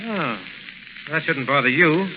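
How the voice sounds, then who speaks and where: A high-voiced, ruthless gang boss. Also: male voice, animated, measured pace. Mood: sneering, scornful. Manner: theatrical, sneering, commanding. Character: ruthless, greedy, desperate gang boss hiding out. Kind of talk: 1930s radio acting. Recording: muffled, hissy, reverberant 1930s radio recording.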